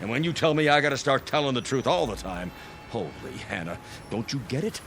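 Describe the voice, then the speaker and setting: A man's voice: medium pitch, big pitch swings, a little fast, fairly bright tone, a little hiss or hum. A man playing a folksy, wily local politician in an audio drama, blustering and full of old-fashioned slang. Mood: animated, scheming. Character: folksy, wily local politician haggling over a used car.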